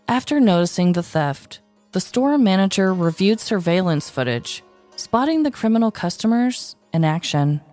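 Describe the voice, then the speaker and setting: A woman's voice: mid-range voice, a little mellow. A woman hosting a podcast, narrating a scripted story in a descriptive, mock-dramatic way. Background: music.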